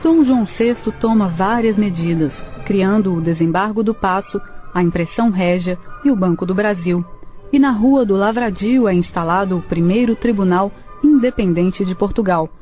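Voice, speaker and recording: A woman's voice: medium pitch, moderate pace, warm tone. A woman reading scripted storytelling narration for a radio drama. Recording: faint music behind.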